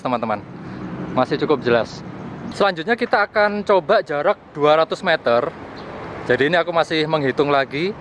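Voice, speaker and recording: A young man vlogger casually explaining a field test as he walks, repeating himself, recorded outdoors at arm's length. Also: on the high side. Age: young adult. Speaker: vlogger reviewing gadgets. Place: outdoors in open plazas, park and street. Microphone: camera at arm's length. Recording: noisy outdoor street and park ambience.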